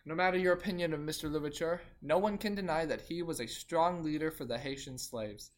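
Someone doing an eager colonist guy hosting, cracks puns, kinda awkward, middle pitch. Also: brisk, lightly acted. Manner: earnest, slightly awkward, laughs at own jokes. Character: earnest young male French colonist who makes puns. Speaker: podcast host. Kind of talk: amateur scripted roleplay.

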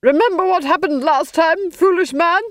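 A woman voicing a scornful, domineering duchess in a story reading, in a sharp and loud voice. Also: very high voice, moderate pace, no room sound, clean studio sound, voice pushed up. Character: shrill domineering noblewoman, scornful.

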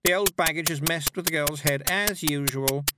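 A man voicing a scornful, haughty young witch girl in an audiobook with a sharp, sneering delivery. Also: fairly high pitch, normal speed, very noisy, voice pushed up, lightly acted.

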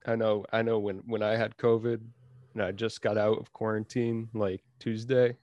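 A young man co-hosting a casual sports podcast, easygoing and halting, with plenty of fillers and restarts. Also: lowish voice, flat delivery, no echo, muffled recording. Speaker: podcast co-host.

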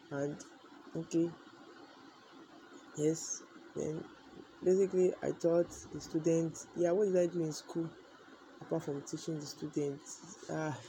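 Flat, mellow young man rambling aloud. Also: a little high, creaky, slight background noise, poor audio. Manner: rambling, repetitive, thinking aloud. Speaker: audio diarist. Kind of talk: casual, unscripted spoken diary.

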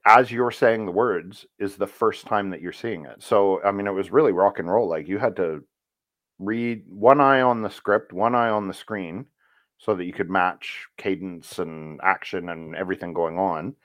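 A man who works as a voice actor, talking casually in an interview and telling stories in a relaxed, rambling way with fillers. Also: sits low, normal speed, no room sound, clean studio sound. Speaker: voice actor guest.

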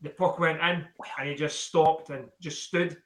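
A man hosts a podcast interview, his voice sitting on the higher side. He puts his questions in a loose, rambling, conversational way and tells a short story of his own along the way. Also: steady tone, unhurried. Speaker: podcast host. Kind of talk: casual interview chat.

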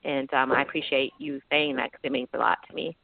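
A woman's voice: a bass voice, little pitch movement, brisk, creaky, dry recording. A woman from the United Kingdom, raised in Florida, speaking earnestly and outspokenly as a guest on a call-in show, often restarting her sentences. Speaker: guest, educator and writer. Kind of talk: call-in radio interview. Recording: tinny phone line.

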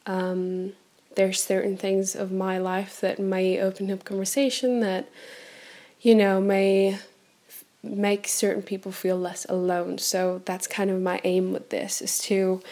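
A woman's voice: medium pitch, flat delivery, measured pace, mellow. German girl in her 20s rambling on her first podcast, lots of ums, phone on the floor. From Germany, raised in Portugal. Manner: chatty and candid, frequent ums and laughs. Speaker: podcast host. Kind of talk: unscripted solo podcast. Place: indoors, sitting on the floor.

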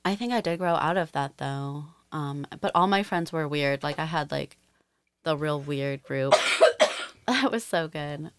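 Soft-spoken woman, low gravelly voice. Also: unhurried, dry recording. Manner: soft, hesitant, trailing off. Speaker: podcast co-host. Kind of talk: casual chat.

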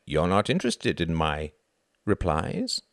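A man playing a grumpy, self-absorbed husband in an audiobook drama, measured and defensive as he tries to placate. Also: deep voice, huge pitch swings, no room sound, clean studio sound, heavily acted.

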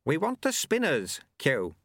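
A man puts on a rascally old monk mentor for an audiobook, pitched well above a usual male voice. He is chatty, irreverent and unbothered, with a lively swing to his phrases. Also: a little slow, vocal fry, dry recording, studio quality, exaggerated voice. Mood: firm, knowing.

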